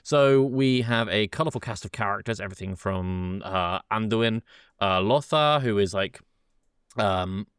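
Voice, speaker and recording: A man's voice: lowish voice, measured pace, fairly bright tone, clear, resonant tone, dry recording, clean studio sound. A man hosting in a casual, chatty way, explaining things loosely and often stopping to rephrase himself. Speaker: podcast host. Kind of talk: casual chat with loose, informal summarising.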